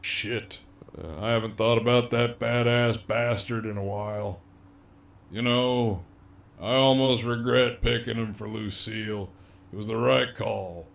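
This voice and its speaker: Male actor as jailed gang boss, gravelly creaking voice, unhurried, cocky, swaggering, wryly menacing, audio drama. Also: lowish voice, steady tone. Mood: wry, reflective. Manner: swaggering, foul-mouthed, drawling. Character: cocky, menacing, wryly amused imprisoned gang leader, adult man.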